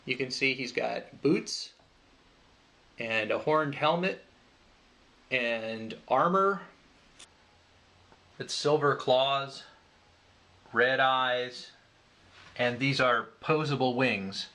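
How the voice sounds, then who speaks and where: A man giving an informal crowdfunding pitch, explaining things in a loose, conversational way. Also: extremely slow, long pauses, pushed and bright. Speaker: project creator pitching a fundraiser.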